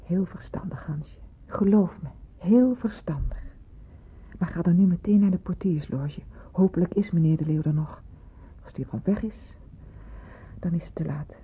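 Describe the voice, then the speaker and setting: A woman's voice: lowish voice, normal speed, slightly rough. An actress playing a stern but motherly senior nurse in a Dutch radio play, speaking with persuasive authority. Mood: urging. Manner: authoritative, admonishing. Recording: muffled, phone-like old radio recording.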